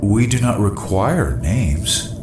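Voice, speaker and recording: An actor playing a formal, literal-minded, self-important artificial intelligence aboard a spaceship in a comedy audio drama. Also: male voice, lowish voice, big pitch swings, takes it slowly, background noise. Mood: stiff, proud. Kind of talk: full-cast sci-fi comedy audio drama. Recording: roomy echo, music underneath.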